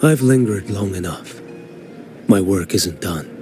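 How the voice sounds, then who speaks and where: A calm, grave wandering swordsman in a video game, his voice sitting somewhat low. He talks in a measured, solemn way over game music. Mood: cool. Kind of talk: game voice acting. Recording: game audio with music underneath.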